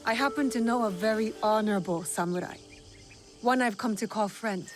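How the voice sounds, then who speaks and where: A woman's voice: medium pitch, brisk, lightly acted. An actress playing a stern, loyal young woman warrior in a video game, curt and earnest with a dry, scolding tongue. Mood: dry, disapproving. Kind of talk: dramatic video game dialogue. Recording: music playing behind the voice.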